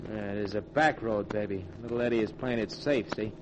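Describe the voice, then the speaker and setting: An actor playing a scheming tough-guy crook in a 1940s radio drama, gruff and bossy with streetwise slang. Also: fairly low pitch, brisk, slight background noise, close to an everyday voice, rough recording. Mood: cocky.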